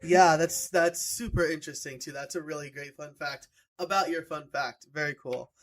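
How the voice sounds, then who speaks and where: A man hosting a podcast, with a voice sitting a little high. He keeps it relaxed and upbeat, firing off short "awesome" and "very cool" replies between his questions. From New York. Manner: upbeat and encouraging, quick affirmations. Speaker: podcast host. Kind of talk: casual interview questions.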